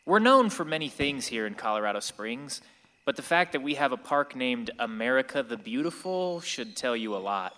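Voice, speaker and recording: Wistful, bright-voiced teenage boy. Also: mid-range voice, big pitch swings, moderate pace. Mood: storytelling. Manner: reflective, storytelling to the listener. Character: angst-ridden sixteen-year-old boy, wistful narrator. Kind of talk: radio play narration. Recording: reverberant hall.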